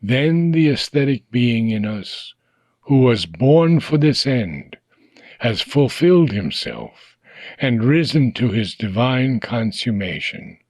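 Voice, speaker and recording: Man, unhurried reading voice, dry close sound, measured pauses, softly fading phrase endings. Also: medium pitch, animated, no echo. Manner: deliberate phrasing with long pauses, trailing off softly at phrase ends. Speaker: reader. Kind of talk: slow, measured reading of a philosophical text.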